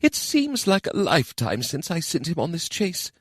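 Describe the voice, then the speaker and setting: Guy doing old elf lady keeper, slow and solemn, higher voice. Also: sing-song, no room sound, clean studio sound. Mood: sorrowful. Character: solemn older female elven keeper.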